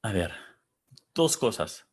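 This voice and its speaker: A man's voice: on the low side, sing-song, husky. Thoughtful Spanish-speaking man from Texas. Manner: thoughtful, searching for words, pauses. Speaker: panel host reading audience comments. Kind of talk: casual reflective talk.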